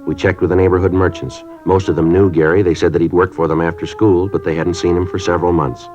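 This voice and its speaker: Man doing a deep-voiced hard-boiled cop, fast clipped delivery, dull old radio sound, music under. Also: flat delivery, darkish tone, natural voice. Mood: flat narration. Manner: clipped, stern, matter-of-fact. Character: stern middle-aged male police sergeant. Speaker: detective narrator. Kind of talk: 1950s radio acting.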